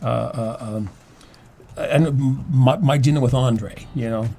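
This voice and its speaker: Older man explaining in halting, repetitive bursts. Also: mid-range voice, mellow, a little hiss or hum. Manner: halting, repeats words, explanatory. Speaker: guest playwright and acting teacher. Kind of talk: conversational interview.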